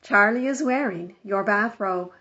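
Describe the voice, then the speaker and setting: A woman's voice: medium pitch, measured pace, pushed and bright. Woman reading as dry, exasperated storybook mother. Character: exasperated practical mother of a family. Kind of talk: children's storybook read-aloud. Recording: dull lo-fi home recording.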